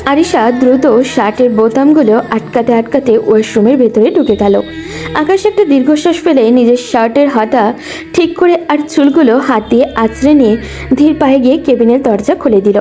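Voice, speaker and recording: A woman's voice: sits high, dark tone. A woman giving scripted narration of a romantic story.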